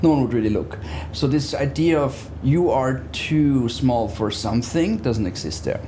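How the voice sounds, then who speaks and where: A man's voice: medium pitch, lively intonation, normal speed, warm tone, slight background noise. A man, talking conversationally as a guest in an interview. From Austria. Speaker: interview guest, business therapist.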